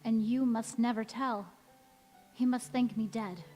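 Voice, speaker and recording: A woman plays an anguished, devoted young wife in a radio drama. Her voice sits fairly high and moves very slowly, with earnest melodrama. It rings through a reverberant hall with music behind. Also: fairly even intonation, dark tone. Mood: desperate, resolute. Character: anguished, devoted young-looking wife cursed never to age. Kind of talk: stage radio-play acting. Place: large reverberant church or hall.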